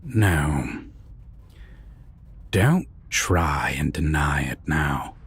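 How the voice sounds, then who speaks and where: A man playing a menacing, condescending vampire captor in a roleplay audio drama, talking in a mocking, deliberate way with husky, breathy whispers. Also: extremely low pitch, animated, fairly bright tone, exaggerated voice. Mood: cold warning. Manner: slow, deliberate, mocking, formal phrasing.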